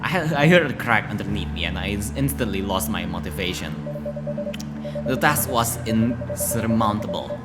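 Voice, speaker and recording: A man doing a casual let's-play, reading story text aloud in a halting way and stumbling over words. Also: lowish voice, animated. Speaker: game streamer. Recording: music playing behind the voice.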